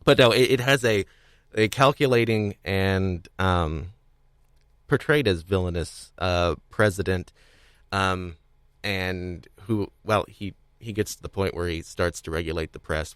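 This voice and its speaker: A man hosting a podcast, talking casually about audio dramas in a halting, hedging way and often chuckling. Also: on the low side, fairly bright tone, no room sound. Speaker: podcast host.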